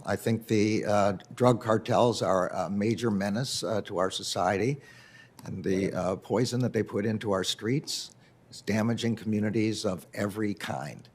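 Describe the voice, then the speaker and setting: A man testifying as a nominee over a video call, answering in careful, halting, measured sentences. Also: lowish voice, breathy edge. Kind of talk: formal hearing testimony.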